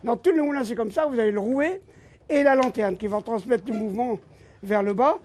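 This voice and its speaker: A man's voice: extremely high pitch, fairly quick, a little mellow, slight background noise. An older man with a Flemish accent, a native Flemish speaker, explaining in a plain-spoken way during an interview. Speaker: miller interviewee.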